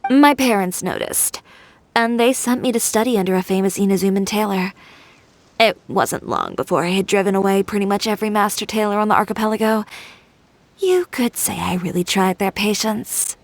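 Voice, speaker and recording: A woman's voice: medium pitch, normal speed, a little hiss or hum, natural voice. An actress playing an assertive, composed young woman fashion designer in a video game, frank and wry. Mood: reminiscing.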